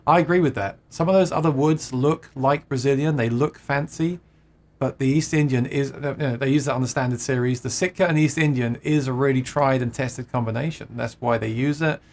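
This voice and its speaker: A man's voice: mid-range voice, fairly quick. Chatty man hosting a livestream. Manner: chatty, laughs often, many restarts. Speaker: livestream host reading viewer comments. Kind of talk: casual chat about guitars.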